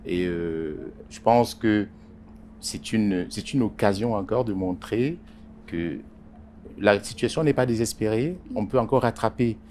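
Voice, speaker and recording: A man, a journalist, answering interview questions in a halting way with many pauses and repeated words. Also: fairly low pitch, expressive, mellow, slight background noise. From Congo Basin. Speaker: journalist and editorial writer.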